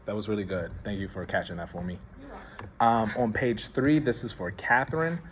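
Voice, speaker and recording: A man directing a rehearsal in a theater, giving script notes in a casual, halting way with many restarts. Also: lowish voice. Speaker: director and playwright. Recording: noisy room.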